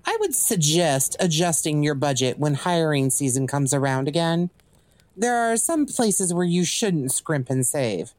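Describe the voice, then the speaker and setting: A woman's voice: low voice, animated, unhurried, darkish tone, dry recording. A woman acting in an audio drama as a cocky legendary assassin and thief for hire, with smug, theatrical, drawling taunts. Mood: smug, dry.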